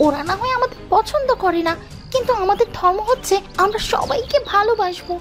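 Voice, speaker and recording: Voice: very high-pitched, brisk, a bit bright. An actor voicing a stern, principled mother mouse scolding in a cartoon. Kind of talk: animated cartoon voice acting.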